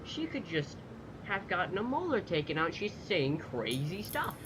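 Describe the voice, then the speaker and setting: A man's voice: high-pitched, big pitch swings, moderate pace, background noise. A man giving casual gameplay commentary as a video host, muttering to himself, swearing and reading text aloud.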